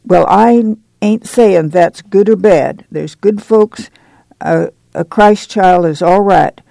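A fierce, pious woman from a storybook, acted out by a man. The voice is high for a male reader and gravelly, yet mellow. It bears down on words like a backwoods preacher spreading scandal and warning of sin. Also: slow, no room sound, exaggerated voice. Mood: righteous outrage. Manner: emphatic, foreboding preaching. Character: stocky fierce, fanatically pious country woman, scandal-mongering.

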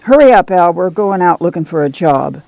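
A woman reading aloud voices a gruff middle-aged farmer who speaks in a curt rural drawl. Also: lowish voice, expressive, moderate pace, dark tone, dry recording.

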